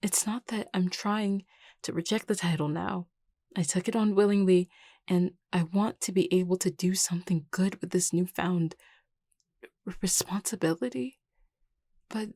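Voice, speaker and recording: A woman voicing an anxious, self-doubting young vampire leader in an audio roleplay, stammering hesitantly and often dropping to a breathy murmur. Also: mid-range voice, fairly even intonation, unhurried, pauses often, dark tone, dry recording, studio quality. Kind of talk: intimate audio roleplay acting.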